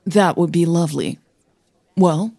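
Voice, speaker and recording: A woman playing a courteous, eager and persistent gentleman in an audio drama. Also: lowish voice, measured pace, vocal fry, dry recording, studio quality. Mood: eager, formal.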